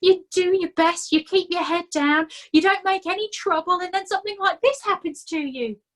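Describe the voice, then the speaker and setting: A woman's voice: extremely high pitch, moderate pace, fairly bright tone, voice pushed up. A woman voicing a scrawny, put-upon male corporal who whines and pities himself. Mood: woeful. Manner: whiny and self-pitying.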